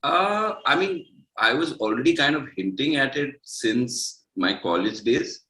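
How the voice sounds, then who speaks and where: A young adult man, a musician, chatting casually in an interview, relaxed and full of "like". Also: medium pitch, unhurried, low-quality recording. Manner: laid-back, lots of "like". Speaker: musician guest.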